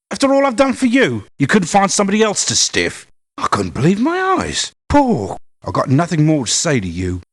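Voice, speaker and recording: A low-voiced, sardonic mercenary. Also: male voice. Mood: indignant. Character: jaded, money-minded male mercenary for hire. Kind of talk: video game character voice lines.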